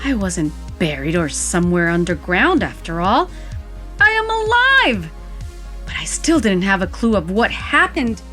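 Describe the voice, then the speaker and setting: A woman's voice: mid-range voice, very animated, a little slow, fairly bright tone, soft background music. A woman narrating audio fiction in the first person, with a wistful, vivid storytelling manner.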